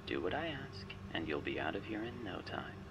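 A man's voice: lowish voice, very animated, moderate pace, background noise. A man playing a smug, menacing but playful artificial intelligence guiding a spy in an ASMR roleplay, wry, teasing and theatrical. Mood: smug, insistent. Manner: wry, teasing, theatrical, often dropping to a whisper.

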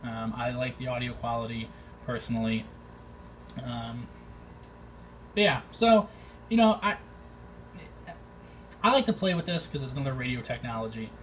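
A man giving his opinions in an informal vlog, thinking aloud in a hesitant, halting way. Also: medium pitch. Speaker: amateur radio video maker. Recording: distant mic, faint background noise.

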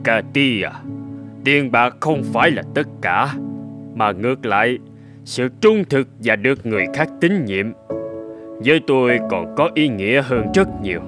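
Male actor as older gentleman, dignified, forgiving, medium pitch, cartoon moral tale, clear music underneath. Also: lively intonation, a little slow, close to an everyday voice. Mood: calm, earnest.

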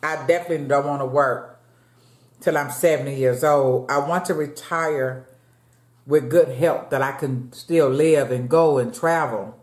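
A woman's voice: a bass voice, unhurried, a little mellow, reverberant. Chatty woman in her late fifties. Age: late 50s. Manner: chatty, talks to viewers as y'all, eating between words. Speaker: mukbang vlogger. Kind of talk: casual chat while eating. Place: dim room at home, seated at a table. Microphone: fixed camera across the table.

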